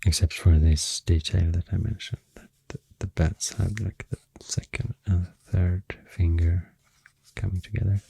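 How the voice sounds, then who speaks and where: Deep, breathy Swedish-accented ASMR man. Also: steady tone, no room sound. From Sweden. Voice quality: deep, soft, breathy, half-whispered. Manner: hesitant, slow, halting, self-correcting. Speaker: ASMR artist. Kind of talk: soft-spoken ASMR rambling. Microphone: close microphone.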